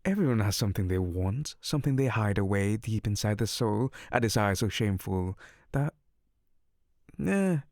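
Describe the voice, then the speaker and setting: A man's voice: on the low side, expressive, moderate pace, a little mellow, dry recording, studio quality. A man voicing an exasperated, sardonic male incubus demon in an ASMR audio roleplay, theatrical and sarcastic. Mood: seductive, teasing.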